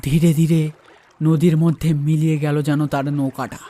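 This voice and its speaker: A man's voice: fairly high pitch, little pitch movement, moderate pace, dark tone. A man narrating a scripted ghost story dramatically, as the host of a story channel. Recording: music under the voice.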